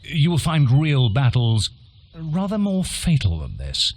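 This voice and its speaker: An actor playing a stern guild instructor in a fantasy game, commanding and condescending, with clipped phrasing. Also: male voice, on the high side, huge pitch swings, unhurried, heavily acted. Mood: stern, testing.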